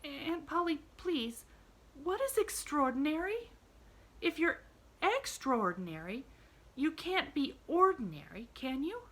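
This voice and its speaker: A woman voicing an eager, cheerful young girl who talks in breathless rushes. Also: sits high, sing-song, pitched above the performer's own voice, exaggerated voice. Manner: bright, breathless and chattering.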